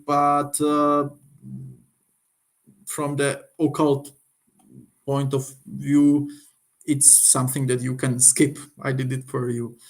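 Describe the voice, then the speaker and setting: A man from Slovakia with a Slovak accent gives an informal, unscripted review, halting as he searches for words. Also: mid-range voice, completely flat delivery. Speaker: occult book reviewer.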